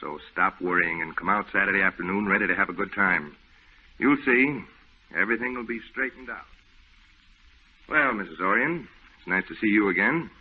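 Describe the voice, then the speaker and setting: Hearty buddy, deep, brisk and coaxing, old-time radio drama, phone-like sound. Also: male voice, husky. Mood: reassuring. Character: hearty, reassuring male friend, middle-aged. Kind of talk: 1940s radio acting. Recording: tinny old radio broadcast with faint hiss.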